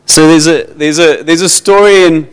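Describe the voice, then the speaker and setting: A South African man preaching to his church in an informal, halting way, repeating words and slipping into Afrikaans. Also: on the high side, fairly even intonation, smooth. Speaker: pastor. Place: church hall. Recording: long hall reverb.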